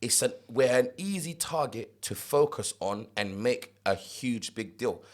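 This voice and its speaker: A young man from South London with a London accent, co-hosting a casual podcast chat, animated and repeating words as he gets going. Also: mid-range voice, a little slow. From South London, England. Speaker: podcast co-host.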